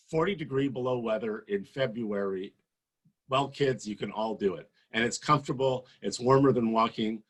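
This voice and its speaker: A man in his sixties delivers an impassioned campaign speech, pressing his points with emphatic force. His voice is husky, and he sits at a middle pitch. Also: low-quality recording. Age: 60s. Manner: passionate, emphatic, rising to forceful peaks. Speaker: political candidate.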